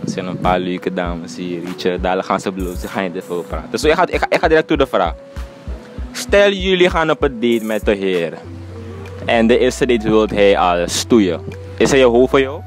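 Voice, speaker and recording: A man's voice: on the low side, fairly quick, faint music behind. A young man hosting a casual street interview vlog outdoors, joking and hyped as he talks into a phone held at arm's length. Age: young adult. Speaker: vlog host interviewing people. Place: outdoors on city streets and terraces. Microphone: handheld phone at arm's length.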